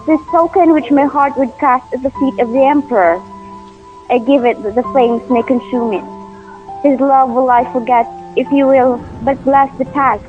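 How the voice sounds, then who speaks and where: Through a tinny, phone-like recording with music behind comes a high-pitched young daughter, played by a woman. She is devoted and solemn, declaiming her lines like poetry in a tragic radio play. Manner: declamatory, poetic delivery. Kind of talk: stage-style audio drama acting.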